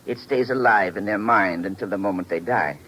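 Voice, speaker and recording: An actor playing a mild, courteous little old blind gentleman, hesitant and formal, in a 1950s radio drama. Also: fairly low pitch, a little fast, natural voice. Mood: thoughtful, earnest. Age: older. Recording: noisy vintage 1950s radio recording.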